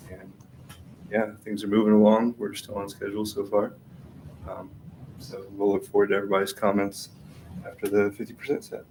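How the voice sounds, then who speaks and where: A man giving an informal project update at a meeting, with a hesitant, halting delivery. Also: lowish voice, a little mellow, rough recording. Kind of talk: informal progress presentation. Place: indoor council meeting room. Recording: faint background noise.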